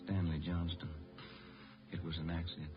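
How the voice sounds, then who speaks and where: A man plays a plainspoken policeman in an old radio drama, deep-voiced and somber. His delivery is subdued, on a muffled recording with music behind. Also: completely flat delivery, moderate pace, lightly acted. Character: somber, plainspoken policeman breaking bad news. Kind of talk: 1940s radio drama acting.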